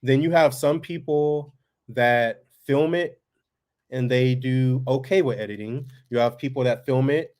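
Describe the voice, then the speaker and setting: A man with a monotone voice chatting casually to viewers as host of a family farm vlog, laid-back and folksy. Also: medium pitch, measured pace, clean tone.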